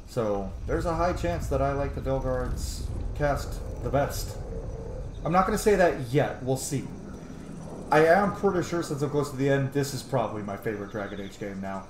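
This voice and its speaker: A man's voice: medium pitch, darkish tone, a little hiss or hum. A man hosting a let's play with casual, chatty commentary, thinking and reacting aloud. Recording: roomy echo, game music behind.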